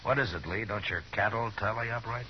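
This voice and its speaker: An actor playing a steady, laconic frontier lawman with measured, understated delivery in 1950s radio Western style. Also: fairly low pitch, lightly acted. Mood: probing. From American. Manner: measured, understated, terse questioning. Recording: muffled, hissy 1950s radio recording.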